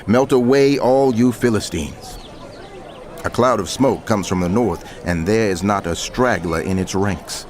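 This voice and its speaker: An actor playing a prophet narrator, solemn and declamatory, in dramatic scripture narration. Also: male voice, lowish voice, unhurried, a little mellow, slight background noise, close to an everyday voice. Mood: solemn declaiming. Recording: music under the voice.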